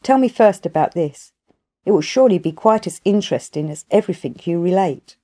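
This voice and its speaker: Woman playing a curious young boy, eager, respectful, audiobook tale, swooping pitch, soft tone. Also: expressive, a little fast. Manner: eager, polite, inquisitive.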